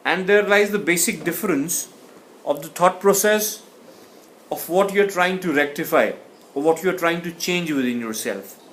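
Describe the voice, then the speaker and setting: A man's voice: a little high, moderate pace, a bit bright, a little hiss or hum. A man teaching a communication class, speaking thoughtfully and often restarting or repeating words. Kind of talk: explanatory lecture.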